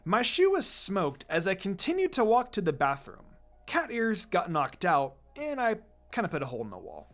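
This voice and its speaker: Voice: mid-range voice, wildly swooping pitch, moderate pace, a bit bright. A channel host reads fan fiction expressively, with a mid-range voice that moves up and down a lot. The style is casual storytelling, with music running underneath. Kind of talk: expressive first-person story narration, casual asides. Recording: background music.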